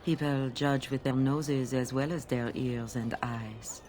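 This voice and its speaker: Genteel market perfume seller, fantasy game, low coaxing female voice. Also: level tone, measured pace, darkish tone, slight background noise. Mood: haughty, helpful. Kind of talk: fantasy video game dialogue acting.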